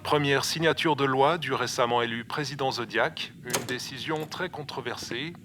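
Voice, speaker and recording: A man's voice: medium pitch, moderate pace, lightly acted. Man playing a news reporter over music. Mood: formal, probing. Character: formal, probing television news reporter.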